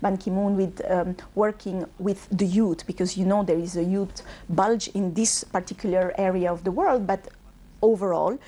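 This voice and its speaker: A woman's voice: medium pitch, fairly even intonation, normal speed, warm tone. A woman from Bangladesh who grew up in Belgium, a UN regional manager answering interview questions fluently, with frequent ums and uhs. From Bangladesh, raised in Belgium.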